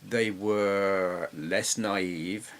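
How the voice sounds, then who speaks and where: A middle-aged man with an English accent giving casual, reflective, slightly halting commentary as he reminisces. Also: low-pitched, fairly even intonation. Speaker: retro gaming YouTuber.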